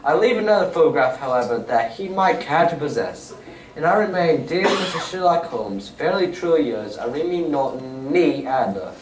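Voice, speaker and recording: In a distant, echoey hall recording, a man acts a confident gentleman detective of old radio drama. The voice is fairly high and very animated, with formal, old-fashioned turns of phrase and a theatrical, explanatory manner. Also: normal speed, close to an everyday voice. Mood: reading, admiring. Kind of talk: 1940s-style radio drama stage acting. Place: community theatre stage reading. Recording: echoey hall, distant mic, faint noise.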